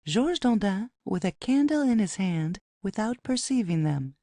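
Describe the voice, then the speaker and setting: A woman's voice: mid-range voice, sing-song, a little slow, warm tone, dry recording, studio quality. A woman narrator reading out scene headings and stage directions from a script.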